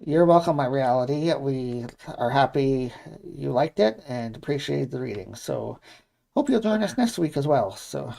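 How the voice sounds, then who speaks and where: A man's voice: mid-range voice, animated, normal speed, gravelly, mellow. A man co-hosting a live card reading show, talking casually to viewers. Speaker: co-host and card reader.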